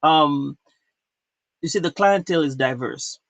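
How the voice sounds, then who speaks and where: A man's voice: a little high, unhurried, fairly bright tone, no echo, highs cut off. A man hosting a podcast, asking his guest questions in a loose, conversational way. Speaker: podcast host. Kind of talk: interview conversation.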